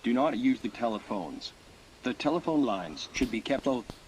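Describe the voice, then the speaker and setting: A man's voice: medium pitch, animated, normal speed, natural voice. A man playing a solemn emergency broadcast announcer, reading scripted safety instructions in a formal manner. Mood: grave, official. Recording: noisy broadcast-style recording.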